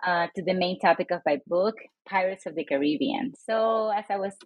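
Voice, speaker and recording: A woman's voice: lowish voice, brisk, dry recording. A woman from Puerto Rico, an author and professor being interviewed, speaking thoughtfully with many fillers. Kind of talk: interview, casual conversation.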